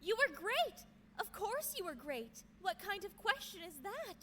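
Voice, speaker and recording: A woman's voice: very high-pitched, very animated, unhurried, crowd noise, full character voice. A woman playing a doting, embarrassing mother in an audio drama, gushing with fussy affection. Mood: proud, bubbly.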